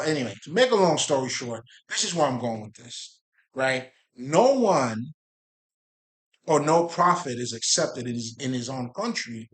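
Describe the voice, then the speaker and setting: A man from Haiti talking casually and profanely to his viewers in a rambling monologue about spirituality, often breaking off to laugh and repeat himself. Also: mid-range voice. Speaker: spiritual video creator.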